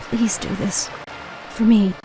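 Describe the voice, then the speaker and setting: A young noblewoman in a fantasy game. Her medium-pitched voice is gentle and earnest. She phrases things carefully, as someone torn and pleading, over faint music. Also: flat delivery, takes it slowly, low-quality recording. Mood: sorrowful, pleading. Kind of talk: video game fantasy voice acting.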